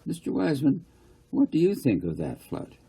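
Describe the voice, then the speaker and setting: A man's voice: a little high, wildly swooping pitch, normal speed, warm tone, slightly rough, voice pushed up, exaggerated voice, rough recording. A man voicing an earnest, polite young boy in a storybook reading, giving short and simple answers. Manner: brief, earnest replies.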